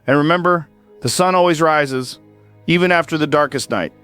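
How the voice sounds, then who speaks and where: An actor playing an earnest late-night radio host who speaks in a reflective, measured way. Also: male voice, fairly high pitch, a bit bright, smooth, faint music behind, natural voice. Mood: hopeful. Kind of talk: audio drama monologue.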